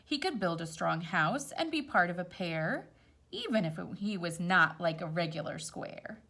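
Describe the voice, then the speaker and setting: A woman reading a picture book aloud to young children, expressive, with casual explanatory asides. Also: lowish voice, normal speed. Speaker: storytime reader.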